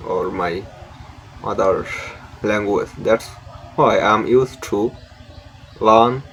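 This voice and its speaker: Young guy w bengali accent teaching english, lowish voice, stops n repeats a lot, faint hum behind. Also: dull audio. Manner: halting, repeats words and restarts sentences. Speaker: English learning channel host. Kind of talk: casual spoken lesson to camera.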